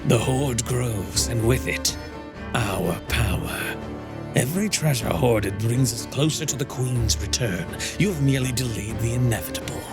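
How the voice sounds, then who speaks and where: A man's voice: medium pitch, a little slow, lightly acted. A sinister cult sorcerer, acted by a male storyteller in a fantasy audio story. He is coldly persuasive and grandiose, stretching out his phrases with menace, and music plays behind him. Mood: hissing threat.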